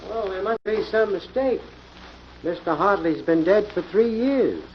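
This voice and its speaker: Guarded company manager: slightly high voice, hesitant courtesy, muffled vintage radio drama. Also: male voice, expressive, measured pace. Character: polite, guarded male steel mill general manager. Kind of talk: 1940s radio acting. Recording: muffled old radio recording, faint hiss.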